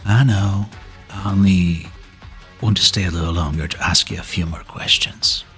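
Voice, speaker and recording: An actor playing an ancient, weary, wry male being in a fan-dubbed game drama, speaking in a slow, reflective, breathy way. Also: deep voice, steady tone, a bit bright. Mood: wistful, weary. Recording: background music under the voice.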